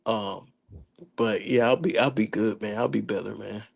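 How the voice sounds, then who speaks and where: A man hosting a casual sports chat, hoarse and slangy, rambling with lots of restarts. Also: lowish voice, steady tone, moderate pace. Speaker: podcast host.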